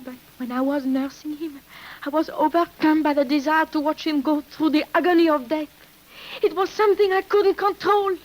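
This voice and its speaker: High-pitched village nurse turned poisoner, formal and melodramatic, old radio mystery. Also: female voice, rough recording. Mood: anguished confession. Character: murderous French village nurse, indignant, protesting her innocence. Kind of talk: 1950s radio drama acting.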